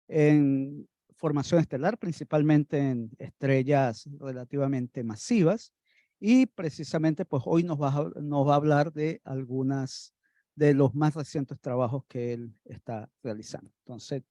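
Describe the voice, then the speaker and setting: A man's voice: medium pitch, measured pace, dark tone, no room sound. A man hosting an academic colloquium, introducing the guest speaker hesitantly and with many filler words. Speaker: colloquium host. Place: lecture room.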